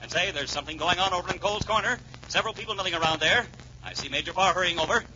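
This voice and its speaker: Rapid-fire high-pitched 1940s boxing announcer. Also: male voice, sharp tone. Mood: thrilled. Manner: rapid-fire, dramatic, emphatic repetition. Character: excited male boxing announcer calling a school fight. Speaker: ringside sports announcer. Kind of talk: 1940s radio blow-by-blow commentary. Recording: muffled, noisy 1940s radio, roaring crowd.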